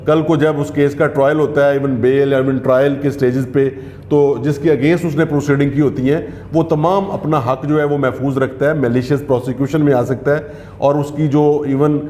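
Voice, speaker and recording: A man who practises criminal law, explaining legal procedure in a measured way, often pausing to hesitate. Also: fairly high pitch, dark tone, roomy sound, a little hiss or hum. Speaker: lawyer answering legal questions.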